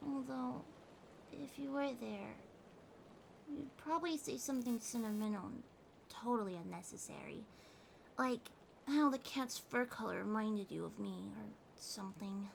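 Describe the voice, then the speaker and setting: A woman acting a needy, tender cat girl in an intimate ASMR audio drama, speaking haltingly and emotionally with breathy whispers. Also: a little high, rough recording. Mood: playful, teasing. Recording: rain ambience noise behind the voice. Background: rain sounds.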